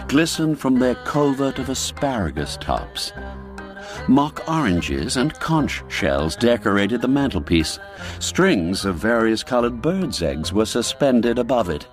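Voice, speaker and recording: A man narrates a literary radio tale with a theatrical, expressive delivery. His voice sits on the low side and swings widely in pitch. He takes his time over each phrase. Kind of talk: dramatized literary storytelling for radio.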